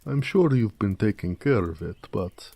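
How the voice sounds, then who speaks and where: A man playing a proud, gentle orc blacksmith in an intimate, affectionate audio roleplay, speaking tenderly and modestly. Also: lively intonation, measured pace, vocal fry, full character voice. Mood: fond, curious. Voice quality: deep, mellow.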